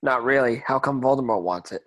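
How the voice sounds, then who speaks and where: A man plays a teenage wizard hero in an amateur table read. The voice is mid-pitched and casual, with a cocky, defiant edge. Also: brisk, a bit bright, natural voice. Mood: cheeky, defiant.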